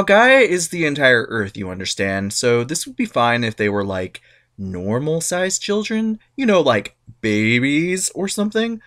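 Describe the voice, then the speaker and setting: A man's voice: mid-range voice, fairly bright tone. A sarcastic male storyteller with a mid-range voice that swings up and down with animation. He reads myths as a comic, irreverent monologue, punching his jokes hard. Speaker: narrator. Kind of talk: comic dramatic reading.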